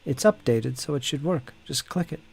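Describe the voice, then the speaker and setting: Guy streaming his mini painting at his desk, rambly and friendly with chat, bit of music low behind him. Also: moderate pace, gravelly, darkish tone, husky. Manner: chatty, talks to viewers by name, hums and mutters while working. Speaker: hobby streamer. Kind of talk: casual live-stream chat while painting miniatures.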